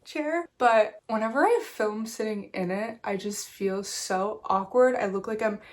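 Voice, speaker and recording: A woman's voice: medium pitch, unhurried. Chatty woman, nasal from a cold. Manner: chatty, fillers like "like", casual swearing. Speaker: book vlogger. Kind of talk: casual reading vlog chat. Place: small reading corner at home.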